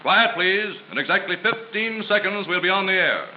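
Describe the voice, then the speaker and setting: A man makes formal, clipped announcements in 1930s radio style. His voice is high, and the old recording is dull with clear noise. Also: expressive, normal speed.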